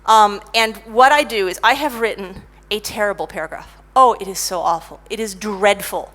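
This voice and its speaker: Lively woman giving a conference talk. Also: fairly high pitch. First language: English. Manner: lively, self-mocking, animated storytelling. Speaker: conference speaker, technical writer.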